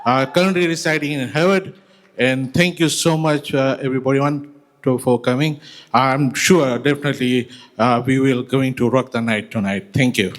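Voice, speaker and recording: A man's voice: medium pitch, fairly even intonation, normal speed, slight background noise. Upbeat man from Fiji greeting the crowd. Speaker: singing contestant.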